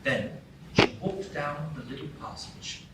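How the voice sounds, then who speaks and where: Guy narrating a radio play, kinda high voice, super echoey and far from the mic. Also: animated. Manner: storytelling, measured. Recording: echoey stage from far off, noisy.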